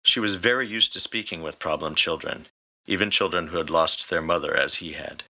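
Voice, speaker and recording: A man's voice: sits low, a little fast, bright, forceful tone. A man reading scripted story narration as the narrator. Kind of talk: scripted fan fiction narration.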